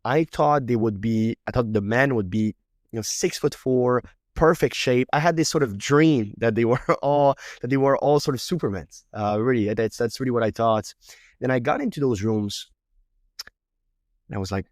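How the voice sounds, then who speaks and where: A man's voice: mid-range voice, normal speed, dry recording, studio quality. A man from Quebec whose first language is French, speaking English with a Québécois French accent as a podcast host, often repeating words as he builds his thoughts. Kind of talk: reflective interview talk.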